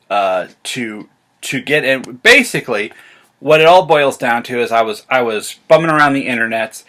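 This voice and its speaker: A man's voice: mid-range voice, sharp tone. A man talking casually to viewers as a rambling, hesitant enthusiast who often corrects himself. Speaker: science fiction author and vlog host. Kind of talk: casual retro sci-fi talk to viewers.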